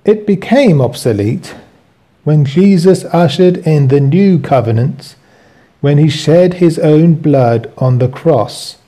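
A man reading his own written teaching aloud as host, in a deliberate, explanatory way. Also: on the high side, expressive, warm tone.

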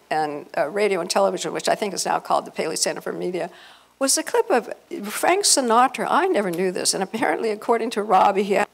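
An older American woman giving a halting, informal talk to an audience through a handheld microphone. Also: mid-range voice, creaky. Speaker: event speaker. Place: hotel meeting room.

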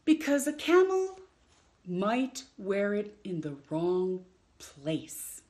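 Expressive woman storyteller, very animated pitch. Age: middle-aged. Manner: expressive, drawn-out storytelling delivery with playful emphasis. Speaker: children's storytime host. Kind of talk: reading a picture book aloud to children. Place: indoors in a home room.

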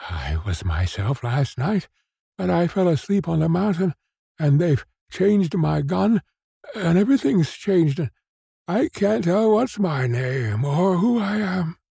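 Guy doing a confused old grey-beard from a storybook, husky, pitched up, pleading and faltering. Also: measured pace, few pauses, mellow, dry recording, studio quality, heavily acted. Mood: bewildered, distraught. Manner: faltering, plaintive exclamations. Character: bewildered ragged grey-bearded old man, despairing. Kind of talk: dramatised storybook reading.

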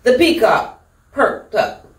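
A middle-aged woman hosting storytime, reading a children's picture book with deliberate, theatrical emphasis. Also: on the high side, sing-song. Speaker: storytime host. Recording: echoey room, distant microphone.

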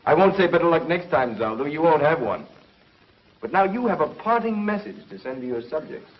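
An actor playing a confident, wry space hero, cool and taunting, in a 1950s TV sci-fi serial. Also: male voice, a little high, very animated, a little fast. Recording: dull 1950s TV soundtrack.

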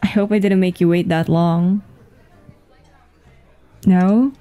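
A woman playing a flirtatious, easygoing businesswoman on a date, in a casual, intimate ASMR roleplay with a soft voice. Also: lowish voice, fairly even intonation. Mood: friendly. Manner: unhurried, giggly, casual. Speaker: audio roleplay voice actor. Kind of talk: intimate ASMR roleplay acting, one-sided conversation. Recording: music behind the voice.

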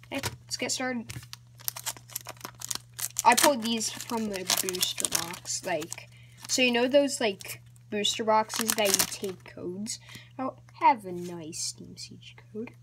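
A woman hosting a casual card-opening vlog, talking in a hesitant, rambling way with many ums and restarts, sometimes dropping to a soft whisper. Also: mid-range voice, background noise. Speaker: channel host.

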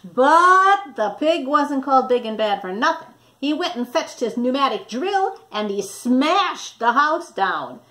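A woman in her sixties reading a storybook aloud to children in an animated, deliberate, teacherly manner. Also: high-pitched. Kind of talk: expressive read-aloud to children. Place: small indoor room.

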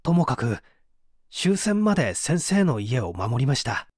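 A man's voice: mid-range voice, unhurried, no room sound, studio quality. A man reading dramatically as a bitter, despairing painter who gives testimony in a formal, confessional way. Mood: earnest, troubled. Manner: confessional, expressive, formal narrative delivery.

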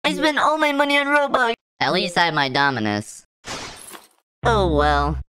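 A woman voicing a naive, gullible young newbie boy in an animated cartoon, talking in an earnest, whiny way. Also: medium pitch, moderate pace, pitched below the performer's own voice, lightly acted. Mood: plain. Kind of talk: cartoon voice-over. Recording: with background music.

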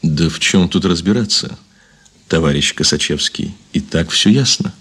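A man playing a guarded, defiant officer under suspicion in a radio play, husky and terse, with stiff deference. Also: sits low, normal speed, a bit bright, exaggerated voice. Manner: terse, reluctant, formally deferential.

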